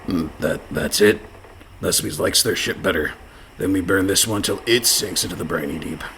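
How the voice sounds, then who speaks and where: An actor playing a surly, bloodthirsty pirate with a sardonic, salty way of talking in a comedy radio play. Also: male voice, deep voice, normal speed, fairly bright tone, a little hiss or hum. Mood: eager, sardonic.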